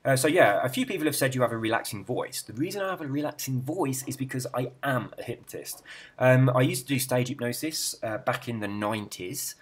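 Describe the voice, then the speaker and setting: Husky, relaxed man. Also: medium pitch, brisk. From England. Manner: rambling, relaxed, easily sidetracked, chuckling. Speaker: YouTuber and hypnotist. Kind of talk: casual chat while browsing a website.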